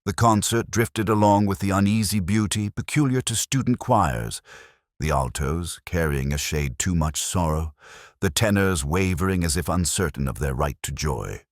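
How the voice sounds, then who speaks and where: Very deep-voiced man narrating a brooding mystery. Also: normal speed, no room sound, clean studio sound. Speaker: first-person narrator of a detective story. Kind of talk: scripted literary mystery narration.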